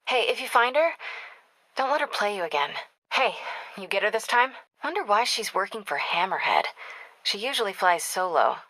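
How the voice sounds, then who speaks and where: An American actress playing a young woman reporter in a video game, caring, wry and quick-witted, in natural conversational dialogue. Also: medium pitch, bright, forceful tone, no room sound. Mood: skeptical, focused.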